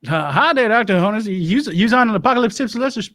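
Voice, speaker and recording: A man's voice: high voice, lively intonation, fast, a bit bright. A man hosting a comedy interview show, folksy and hesitant with lots of filler words. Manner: folksy, hesitant, drawling with many uhs.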